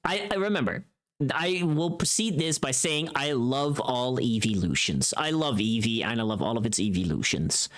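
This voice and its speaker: A streamer chatting casually and playfully on a livestream, thinking aloud in an expressive way. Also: medium pitch, moderate pace. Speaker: livestreamer and voice actor making a voice pack.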